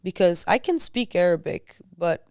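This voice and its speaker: A woman's voice: fairly low pitch, expressive, moderate pace, a little mellow, no echo, studio quality. A young woman from Lebanon, a podcast guest, chatting casually with lots of "like" and acting out the people she quotes. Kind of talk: casual chat.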